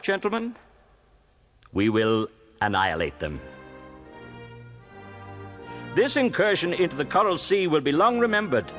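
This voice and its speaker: An actor playing an arrogant, confident Japanese naval commander in an audio drama, declaiming pompously. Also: male voice, a little high, fairly bright tone. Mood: rousing, triumphant.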